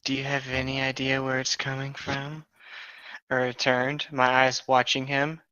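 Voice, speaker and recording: A man's voice: medium pitch, completely flat delivery, unhurried, pushed and bright, clear, resonant tone, no room sound, highs cut off. A man casually reading a story aloud as a co-host, voicing the dialogue as he goes. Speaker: co-host of a comedic fan fiction reading show.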